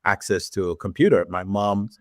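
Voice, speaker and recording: Nigerian man in his forties, podcast guest; low voice, dry close sound, measured storytelling. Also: no room sound. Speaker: podcast guest, tech executive. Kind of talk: casual interview talk.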